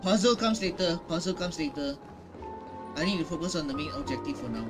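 A man commentates casually on a game he is playing. He talks slowly, half to himself, repeating phrases, with game music clearly behind him. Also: a little high, animated. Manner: muttering to self, repeats phrases. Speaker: gaming YouTuber.

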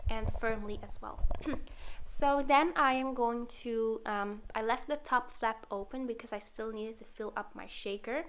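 Woman with a cold narrating a craft tutorial. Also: a little high. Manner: relaxed step-by-step explaining with fillers. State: has a cold, slightly sore throat. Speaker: card-making tutorial host.

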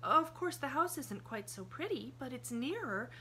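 A woman voicing an eager, cheerful little girl in an audiobook, bright, breathless and guileless. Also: high-pitched, lively intonation, moderate pace, a little hiss or hum, pitched above the performer's own voice. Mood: innocent. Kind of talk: audiobook character voice.

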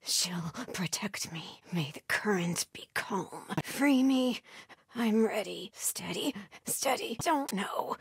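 A woman's voice: medium pitch, expressive, takes it slowly, gravelly, husky, no room sound, clean studio sound, heavily acted. A woman playing a zealous, fierce female cult warrior in a video game, with clipped, intense and devout delivery. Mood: hushed, strained prayer. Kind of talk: video game combat barks and prayers.

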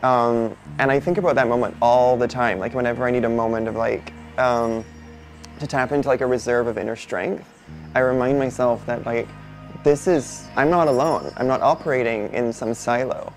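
A young non-binary speaker from Barbados talks in a thoughtful, unhurried manner, pausing on soft fillers. Their mid-range voice carries a gravelly fry, and quiet music sits faintly beneath it. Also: male voice, medium pitch, fairly even intonation. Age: 20s. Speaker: interviewee. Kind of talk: reflective personal interview. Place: indoor studio before a painted backdrop.

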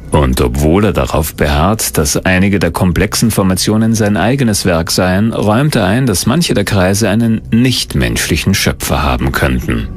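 A man's voice: deep voice, animated, moderate pace, few pauses, slight background noise. A man reading scripted documentary narration as the narrator. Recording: music under the narration. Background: background music.

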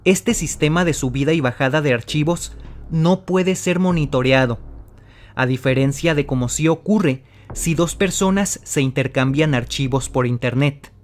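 A man's voice: on the high side, normal speed, faint music behind. A man narrating a mystery video from a script, speaking straight to the viewer in a dramatic tone. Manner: dramatic, addresses the viewer directly. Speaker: channel host narrator. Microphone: voice-over.

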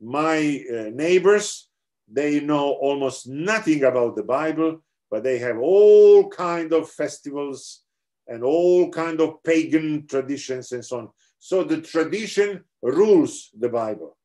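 A man's voice: fairly high pitch, animated, slow, warm tone. A middle-aged man with a Serbian accent, giving a theology lecture over a video call in an earnest, explanatory way with frequent restarts. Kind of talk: online seminar lecture.

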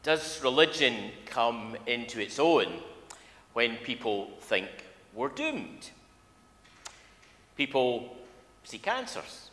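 Lively older preacher in a reverberant church. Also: male voice, medium pitch. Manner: wry, informal, halting phrases. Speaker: minister. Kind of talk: conversational sermon. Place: large church, at a lectern. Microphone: gooseneck lectern microphone. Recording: echoey church hall.

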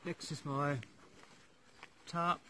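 A man, casually walking through his hiking gear outdoors, pausing often with "um". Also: on the high side, slight background noise. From Australia. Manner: relaxed, practical, frequent "um" pauses. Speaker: hiker showing his gear. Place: outdoors in eucalyptus bushland campsite. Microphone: camera on tripod a few metres away.

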